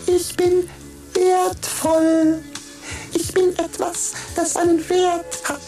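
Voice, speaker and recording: Super high flat kiddy voice, some precious little gem thing, asks stuff real slow, music under it. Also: female voice, exaggerated voice. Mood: earnest. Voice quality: very high, thin, flat childlike voice. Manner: slow, pleading, earnest questions. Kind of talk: radio play acting. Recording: music behind the voice.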